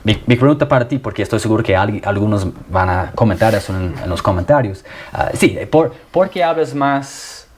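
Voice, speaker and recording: A man's voice: on the low side, expressive, moderate pace, a little hiss or hum. A man, an English speaker, asking questions in a casual interview. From Canada.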